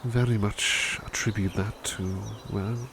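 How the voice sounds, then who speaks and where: A man voicing a doting butler in a soft-spoken ASMR roleplay, talking gently and soothingly with hesitant restarts. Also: lowish voice, fairly even intonation, takes it slowly, background noise.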